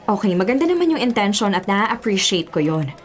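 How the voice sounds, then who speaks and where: A woman's voice: medium pitch, sing-song, brisk, natural voice. An actress playing a bitter, prickly young office woman in a Tagalog radio drama, speaking with a Filipino accent in a curt, sarcastic way. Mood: irritated, bitter.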